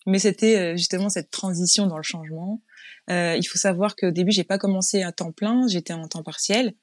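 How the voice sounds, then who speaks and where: A woman's voice: on the low side, brisk, no room sound, clean studio sound. A woman from France, a guest in a casual interview, telling her story frankly in a chatty way with frequent restarts. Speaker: guest, business owner.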